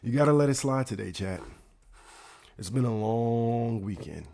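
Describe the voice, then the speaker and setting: Male livestream host, raspy mid-range voice. Slangy, hyped, repetitive, but slow-paced. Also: mid-range voice, animated, warm tone. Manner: slangy, hyped, repeats phrases. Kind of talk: casual livestream chat.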